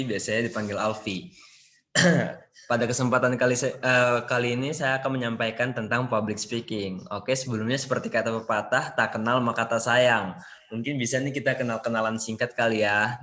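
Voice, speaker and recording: A young man casually leading a student presentation over a video call, chatty and relaxed, tossing in English slang. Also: mid-range voice, fairly quick.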